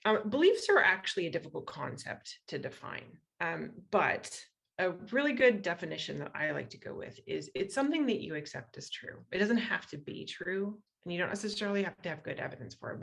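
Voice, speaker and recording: An American woman speaks as a guest lecturer, explaining ideas in a conversational way. Her voice sits a little on the low side and has a clear creak to it. She often checks in with her listeners through rhetorical questions. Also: very animated, brisk, a bit bright. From western Iowa, United States. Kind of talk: explanatory public talk.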